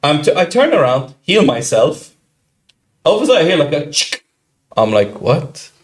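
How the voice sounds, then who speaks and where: A man co-hosting a podcast, telling a video game story in a casual, animated way and acting out what other players said. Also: fairly high pitch. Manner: animated, quick, acts out quoted players. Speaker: podcast co-host.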